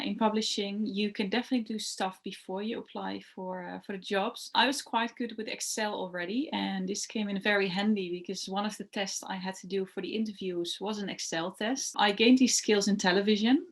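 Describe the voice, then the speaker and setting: A woman's voice: medium pitch, steady tone, a little fast, few pauses. A young woman whose first language is Dutch talks through her job in an interview. Her voice sits in a middle range, and she hesitates often, filling gaps with "uh" and repeating words before finishing her thought. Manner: hesitant, many "uh" fillers and restarts. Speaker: translation rights executive. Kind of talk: interview answers.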